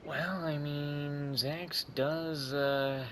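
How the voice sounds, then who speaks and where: Woman game streamer, extremely low voice, casual joking commentary, noisy home mic. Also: steady tone, drawn-out words, fairly bright tone. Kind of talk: casual commentary over gameplay.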